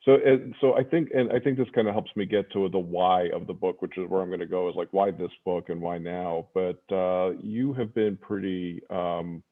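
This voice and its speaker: Male radio host, casual and meandering, low pitch, phone-quality sound. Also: fairly even intonation, moderate pace, few pauses. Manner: rambling, self-correcting, many fillers. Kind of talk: casual interview. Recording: tinny phone-like line.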